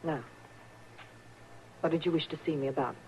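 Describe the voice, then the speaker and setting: Actress as bitter, proud matron for radio western. Curt, formal delivery, dull vintage radio sound. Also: female voice, low voice, steady tone, normal speed, a little mellow, close to an everyday voice. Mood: stiff, guarded. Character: Mrs. Henshaw, cold, proud, bitter frontier matron. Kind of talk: old-time radio western acting. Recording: dull, noisy old radio recording.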